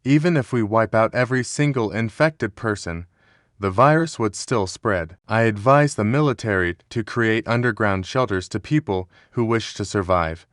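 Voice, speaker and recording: A man's voice: lowish voice, normal speed, no room sound, studio quality, close to an everyday voice. A man playing a grave public health official delivering a formal, somber scripted emergency address. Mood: grave, resigned.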